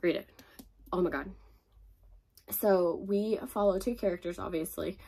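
A young woman gushes about her favorite books in a casual home vlog. Her voice sits a little low and keeps cracking as she gets over an illness. She fills her talk with "like" and "y'all.". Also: a little slow, dark tone, husky, rough recording. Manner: chatty, gushing, frequent fillers and laughs. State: voice cracking after being sick. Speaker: book reviewer. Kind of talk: casual book recommendation vlog. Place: home room with bookshelves, indoors.

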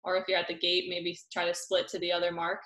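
A young adult woman, an Olympic sailor, reading out questions and answering them in a friendly, hesitant way, with lots of pauses over a video call. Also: on the low side, completely flat delivery, fairly bright tone. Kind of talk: casual online Q&A. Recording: echoey room on a video call.

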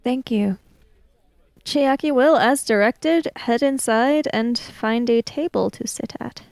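A woman playing a tabletop roleplaying game, narrating and speaking for her character in measured, hesitant phrases. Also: on the high side, a little mellow, no room sound.

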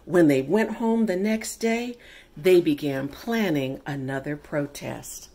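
Middle-aged woman, picture book read-aloud, unhurried pace, somewhat low pitch, dramatic deliberate phrasing. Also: mellow, a little hiss or hum. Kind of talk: expressive children's picture book reading.

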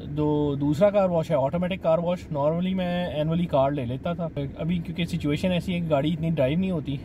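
Dad vlogger from Pakistan, middle-aged, talkative and explanatory, voice a bit high, street noise behind him. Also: male voice, steady tone. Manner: chatty, explains slowly, says "janab" often. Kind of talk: casual vlog narration. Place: outdoors at a car wash and in a car.

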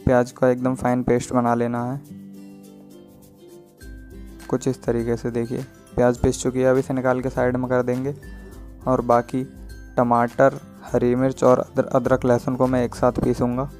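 A man narrates a home cooking tutorial in a plain, instructional way. His mid-pitched voice stays on a very flat, unvarying line as he explains each step. Faint music plays behind him. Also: normal speed, long pauses. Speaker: home cook presenting a recipe.

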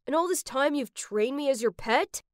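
A woman's voice: sits high, expressive, normal speed, no echo, studio quality. Woman as a wounded, proud teenage boy. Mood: hurt, accusing. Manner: accusing, dramatic. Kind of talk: dramatic story dialogue.

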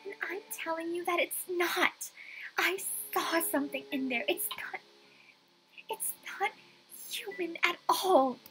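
A woman's voice: very high voice, takes it slowly, pushed and bright, soft background music, voice pushed up, exaggerated voice, poor audio. A woman voicing a panicked young female estate agent in a visual novel, desperate and haunted. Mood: frightened urgency. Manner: stammering, desperate.

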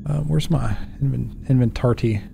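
A man's voice: medium pitch, normal speed, vocal fry, warm tone, soft background music. A man in his thirties giving casual let's play commentary, thinking aloud and asking himself questions as he goes. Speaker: gaming YouTuber. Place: indoor home setup.

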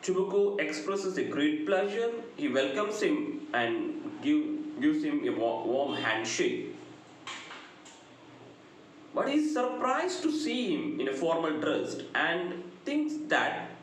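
A middle-aged man with a South Indian accent gives a prepared literature lecture in deliberate, measured short phrases. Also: fairly high pitch, sing-song. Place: indoor room, very reverberant. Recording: very echoey room, noticeable background noise.

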